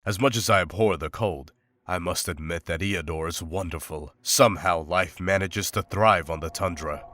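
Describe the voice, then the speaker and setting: A man's voice: sits low, normal speed, fairly bright tone, no echo, clean studio sound. A man playing a stoic, scholarly monster hunter in a visual novel, speaking in a formal, composed, literary way. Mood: composed, reflective. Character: stoic, scholarly male monster hunter, calm and matter-of-fact. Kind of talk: scripted visual novel drama and journal narration.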